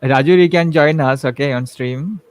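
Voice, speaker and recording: A man's voice: a little high, normal speed, dry recording. A man hosting a live-stream interview, reading out questions hesitantly with many fillers. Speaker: host.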